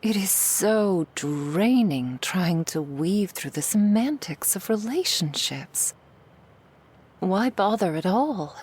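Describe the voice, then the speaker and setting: Woman playing a slow, whispering seductive demoness. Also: medium pitch, a little mellow. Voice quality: breathy, whispery. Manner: slow, soothing, insidiously persuasive. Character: seductive, coaxing female demon preying on loneliness. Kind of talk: ASMR roleplay, intimate monologue.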